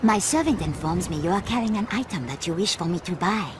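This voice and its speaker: A woman's voice: fairly low pitch, lively intonation, fast, background noise, lightly acted. A woman voicing a cool, haughty buyer in a video game, speaking in formal wording. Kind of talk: video game cutscene acting.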